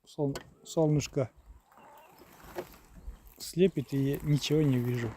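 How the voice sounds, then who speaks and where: A man's voice: mid-range voice, fairly even intonation, slow pace, mellow, a little hiss or hum. A man on a casual live stream outdoors in the countryside, reading chat aloud and spelling out words letter by letter. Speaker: live streamer from a rural village. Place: outdoors in the countryside near a river, on the move.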